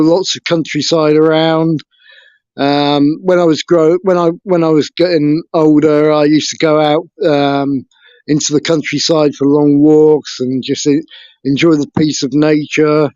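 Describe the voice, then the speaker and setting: Man, higher voice, dull call audio. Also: little pitch movement, a little slow, darkish tone, dry recording. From England. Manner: frank, hesitant recounting of his life. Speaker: interview guest. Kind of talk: casual interview talk.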